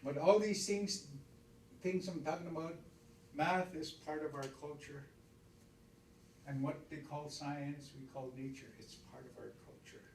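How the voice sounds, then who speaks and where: Halting Stoney-speaking man teaching, roomy and distant. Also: a little high, steady tone, darkish tone, slight background noise. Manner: repeats words, counts aloud, halting restarts. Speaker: elder teacher. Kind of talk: informal spoken teaching.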